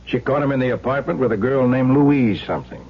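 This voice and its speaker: A man's voice: medium pitch, animated, creaky, slightly rough. An American man playing a skeptical police lieutenant in an old radio crime drama, questioning with dry, knowing sarcasm. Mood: sardonic, knowing. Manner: deliberate, dryly sarcastic, knowing. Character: skeptical, sardonic male police lieutenant interrogating a suspect. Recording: dull, noisy old radio recording with hum.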